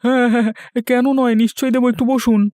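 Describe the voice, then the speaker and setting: A man's voice: very high voice, little pitch movement, quick pace, a little mellow, no echo, voice pushed up. A man voicing a flustered, obsequious village schemer in a cartoon. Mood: fawning. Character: fawning, excitable, cowardly village man, pleading schemer. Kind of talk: Bengali cartoon voice acting.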